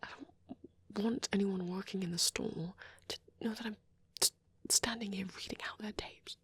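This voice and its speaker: A man playing a shy young male vampire in an ASMR roleplay, rambling hesitantly and apologetically in a soft, breathy voice that often drops to a whisper. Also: sits high, steady tone, no echo, exaggerated voice. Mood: hushed, enthusiastic. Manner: hesitant, halting, rambling, self-correcting.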